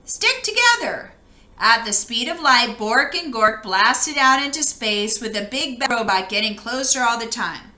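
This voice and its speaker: Bright, high-voiced woman reading a story. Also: moderate pace, hardly any pauses, roomy sound. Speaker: storybook reader. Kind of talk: expressive children's read-aloud narration.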